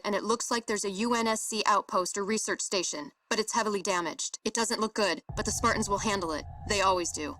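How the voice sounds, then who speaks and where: An actress playing a confident, analytical scientist on a military starship, speaking in an articulate, matter-of-fact way in a game cutscene. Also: female voice, mid-range voice, fairly bright tone, lightly acted. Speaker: professor, scientific advisor.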